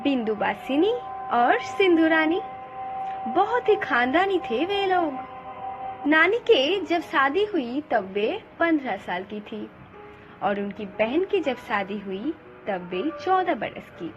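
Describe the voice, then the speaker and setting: A woman narrates a ghost story as a dramatic storyteller. Her high voice moves with lively swings at an unhurried pace, over clear background music. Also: muffled recording.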